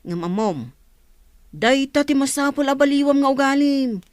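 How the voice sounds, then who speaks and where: Lady playing a guy's sensible buddy, high voice, dry sound, scolding and questioning, audio drama. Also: expressive, moderate pace, darkish tone, no echo. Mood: admonishing. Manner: questioning, admonishing. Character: cautioning, level-headed male buddy. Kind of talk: Ilocano audio drama acting. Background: faint music.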